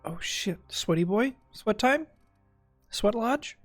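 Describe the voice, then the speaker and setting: A man's voice: sits high, animated, slow, soft background music. A man giving casual, joking gameplay commentary as a streamer, with some swearing. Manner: joking, playful, profane. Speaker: video game streamer.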